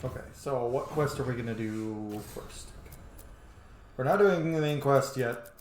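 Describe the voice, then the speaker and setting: A man's voice: medium pitch, a little slow. A man hosting a video game playthrough, commenting informally, swearing and thinking aloud. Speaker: gaming video host. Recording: roomy echo, game music behind.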